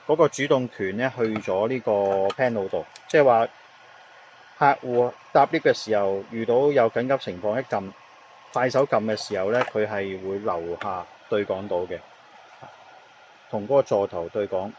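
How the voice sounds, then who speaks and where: A man with a Hong Kong Cantonese accent giving an unscripted product demonstration, explaining in halting phrases with English words mixed in. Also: lowish voice, lo-fi sound, slight background noise.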